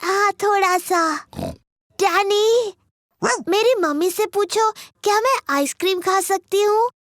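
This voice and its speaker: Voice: very high-pitched, takes it slowly, fairly bright tone, dry recording, studio quality, heavily acted. A performer voicing a chatty, dramatic little girl piglet in a children's cartoon dub. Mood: chatty, important.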